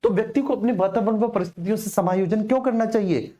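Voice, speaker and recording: A man's voice: high voice, quick pace, warm tone. A man teaching an exam coaching class informally, explaining repetitively and addressing his students directly.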